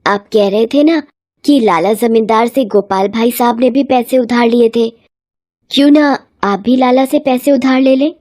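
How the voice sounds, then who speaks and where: A woman voicing a practical, reassuring farmer's wife in an animated moral story, persuasive and matter-of-fact. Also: a little high, moderate pace, no echo, studio quality, lightly acted.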